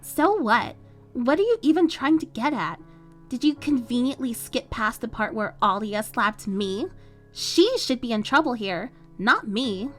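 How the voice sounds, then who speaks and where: A woman voicing a spoiled, scheming teenage rich girl with a high, whiny, sneering voice. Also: lively intonation, a little slow, faint music behind. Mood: indignant. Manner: sneering, self-pitying.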